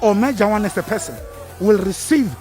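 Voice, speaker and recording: A man's voice: high voice, lively intonation, brisk, dark tone, faint music behind. A man speaking emphatically as a supporter outside a court. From South Africa. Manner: emphatic, declaring. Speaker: supporter at a rally.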